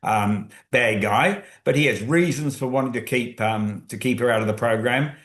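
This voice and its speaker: A man's voice: medium pitch, normal speed, fairly bright tone. A man speaking as a co-author in a webinar, explaining at length and restarting phrases as he thinks aloud. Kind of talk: webinar talk. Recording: roomy echo.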